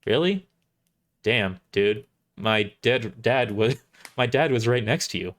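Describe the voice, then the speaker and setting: A man's voice: on the low side, animated, slow, a bit bright. A man voicing a blunt, sardonic young woman mercenary with a guarded, casual way of talking in an audio drama. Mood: blunt, bitter. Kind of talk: amateur audio drama character reading.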